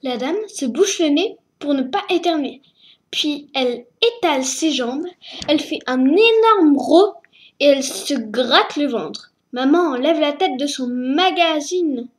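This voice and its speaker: A woman reading a children's story aloud, slowly and hesitantly, stumbling over words. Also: sits high, expressive. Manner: slow, hesitant reading with fillers.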